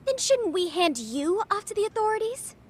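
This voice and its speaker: A woman's voice: very high voice, very animated, normal speed. A woman playing a self-important teenage schoolgirl who leads a detective club in a video game dub, with pompous, precise, dramatic phrasing. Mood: pompous, arch. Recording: music under the dialogue. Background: music.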